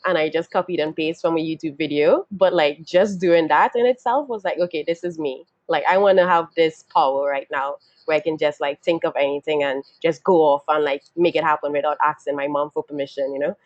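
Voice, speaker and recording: A woman's voice: sits low, no room sound. A woman from Trinidad and Tobago telling her story casually on a webinar, chatty, with lots of fillers and laughter. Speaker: webinar panelist. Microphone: video call. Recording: dull lo-fi video call audio.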